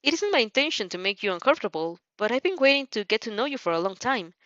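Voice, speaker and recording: Casting: excitable teen anime heroine, acted by a woman, earnest, gushing, lively pitch swings, muffled close dry recording. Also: fast, no room sound. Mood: formal, eager. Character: earnest, excitable teenage heroine, polite and teasing.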